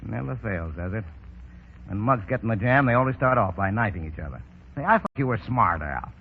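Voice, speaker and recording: An actor playing a cocky, shrewd racketeer in a 1930s radio drama, sardonic and full of clipped slang. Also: male voice, fairly low pitch, expressive, moderate pace, pauses often, slight background noise. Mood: wry, sardonic. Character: cocky, shrewd tough racketeer, wry and commanding. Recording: dull old 1930s radio broadcast.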